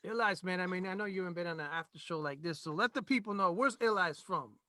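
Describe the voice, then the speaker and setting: A man's voice: high-pitched, fast, pushed and bright. A man hosting a casual online chat, easygoing, asking a guest questions with street slang. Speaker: show host.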